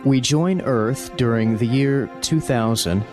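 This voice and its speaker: An actor playing a grave announcer, narrating a science-fiction audio movie in a portentous, dramatic way. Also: male voice, mid-range voice, slow, lightly acted. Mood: solemn, portentous. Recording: music behind the voice.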